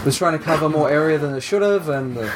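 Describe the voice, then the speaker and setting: A man's voice: fairly high pitch, fast talker, noisy background. A man hosting a podcast, chatting casually and rambling a little with wry asides. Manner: rambling, self-correcting, wry. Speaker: podcast host. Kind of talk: casual chat.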